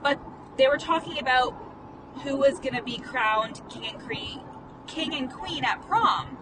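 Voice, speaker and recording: A woman in her late twenties with a stutter, talking through a candid, rambling vlog monologue full of "like" fillers and the occasional swear. Her voice sits a little high. The mic is set back from her in the car, and steady road noise is clearly heard. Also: unhurried. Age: late 20s. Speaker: life coach and podcast host. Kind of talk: casual vlog monologue while driving. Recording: distant phone mic in a noisy car.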